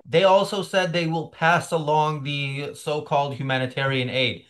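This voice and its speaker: A middle-aged man hosting a political commentary chat over a video call, sardonic and halting, with many fillers. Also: fairly high pitch, steady tone, a bit bright. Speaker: show host.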